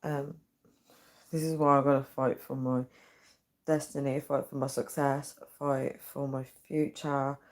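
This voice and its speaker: A tired woman, her voice nearly gone, speaking softly and earnestly as she chats with viewers on a live stream from her home at night. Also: very deep voice, level tone, a little slow. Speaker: livestreamer. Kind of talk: casual live chat reading viewer comments. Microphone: built-in device mic, no dedicated microphone.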